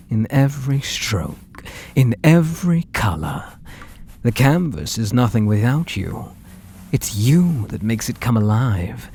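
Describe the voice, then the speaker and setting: An obsessive British male artist played by a man murmurs to his beloved in an ASMR roleplay. His soft, breathy voice is unhurried and very expressive in pitch, sliding into whispers with possessive tenderness. There is noticeable background noise. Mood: rapt, worshipful. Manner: tender, slow, menacingly intimate.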